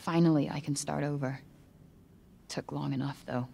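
A woman's voice: low-pitched, fairly even intonation, normal speed, creaky, dark tone, husky, exaggerated voice. An actor playing a wry, streetwise young American woman in a video game, muttering to herself with weary, self-mocking informality. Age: young adult. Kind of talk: video game character acting, musing to herself.

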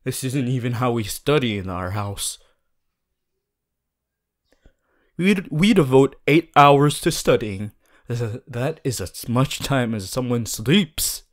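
A man playing an earnest, bossy, worried young student hero in an audio roleplay, talking to the listener in an insistent, halting, emphatic way. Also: mid-range voice, lively intonation, natural voice. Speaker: voice actor.

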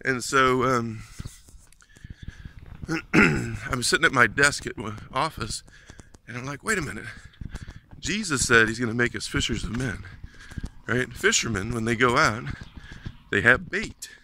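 A man's voice: mid-range voice, animated, unhurried, long pauses, sharp tone, a little hiss or hum. Earnest man vlogging on a walk. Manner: conversational, earnest, storytelling. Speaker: ministry vlogger. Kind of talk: casual walking vlog. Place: outdoors on a suburban street. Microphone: phone at arm's length while walking.

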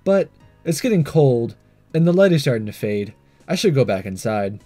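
A man's voice: medium pitch, big pitch swings, moderate pace, dark tone, soft background music, natural voice. A man reading a visual novel aloud as a self-conscious, earnest teenage schoolboy voicing his fretful inner thoughts. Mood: melancholy musing.

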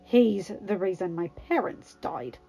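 A woman's voice: on the high side, quick pace, darkish tone, dull audio, faint music behind, lightly acted. A woman voicing a sarcastic, blunt and hot-headed male superhero with emphatic delivery. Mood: confrontational. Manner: sardonic, emphatic.

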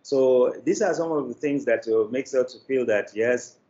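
An older man with a Nigerian accent gives interview answers in a measured, deliberate, formal manner. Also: mid-range voice, dark tone. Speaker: guest, media company executive. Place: indoor room, video call. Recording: dull, noisy video call.

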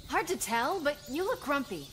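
A cocky young superheroine in a video game. The voice is high and confident, built for taunts and headstrong challenges. Loud music runs behind it. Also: female voice, big pitch swings, moderate pace. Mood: taunting. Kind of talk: video game character acting.

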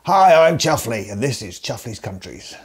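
A man in his forties from England, hosting with dry, deadpan, scripted comedic narration to the camera. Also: mid-range voice, normal speed. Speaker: host. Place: indoors, seated at home. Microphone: facing camera at close range.

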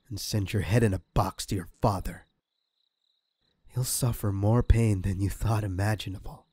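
A man's voice: lowish voice, warm tone, husky, no echo. A man playing a smugly menacing, vengeful crime villain in an audio roleplay, with a mocking, theatrical delivery. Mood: cold threat. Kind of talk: scripted ASMR audio roleplay.

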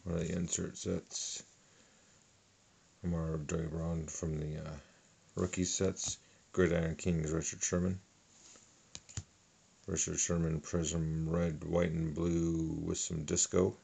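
A middle-aged man calmly listing sports cards one by one at a table, with a lot of fillers. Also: sits low, slow, gravelly, a little hiss or hum. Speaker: card collector. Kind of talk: casual card unboxing narration. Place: indoors at a table.

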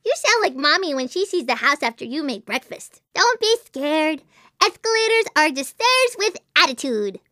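Very high-pitched, imaginative little girl. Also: sing-song, moderate pace, pushed and bright, no room sound, studio quality, full character voice. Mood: playful, whimsical. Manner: whimsical, earnest, childlike wondering. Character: imaginative, chatty little girl, dreamy about unicorns and princesses. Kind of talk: animated video game character voice acting.

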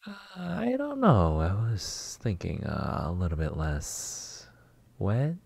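Man playing a husky, slow-talking flirty neighbor. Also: sits low, wildly swooping pitch, a little mellow, dry recording, full character voice. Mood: shy, flustered. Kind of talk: intimate roleplay ASMR.

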